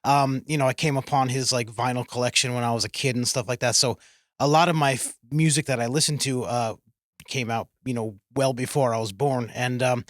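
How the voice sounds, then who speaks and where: A man in his forties with a Midwestern American accent, a band's bassist and singer, chatting casually with many fillers and restarts. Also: fairly even intonation, fairly quick, a bit bright, no echo, studio quality. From Kansas City area. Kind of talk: casual chat. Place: radio studio.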